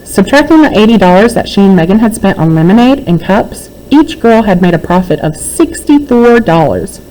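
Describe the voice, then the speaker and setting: A woman's voice: medium pitch, sing-song, normal speed, mellow, a little hiss or hum, low-quality recording. A woman reading a children's book aloud as a narrator. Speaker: read-aloud reader.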